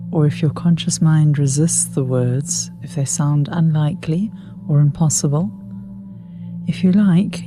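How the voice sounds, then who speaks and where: A woman guiding a meditation with affirmations in a soothing, unhurried way, with soft, breathy phrase endings. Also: low voice. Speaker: meditation guide. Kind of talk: guided meditation with spoken affirmations. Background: meditation music with singing bowl.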